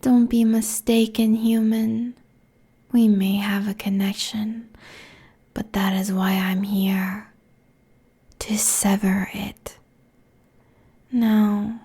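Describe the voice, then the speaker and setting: A woman voicing a seductive, merciless demon queen in an intimate ASMR roleplay, with a breathy, husky, commanding delivery close to a binaural microphone. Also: mid-range voice, little pitch movement, mellow, exaggerated voice. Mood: cold, domineering. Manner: slow, commanding, sultry, deliberate. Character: seductive, merciless succubus demon queen draining mortal souls.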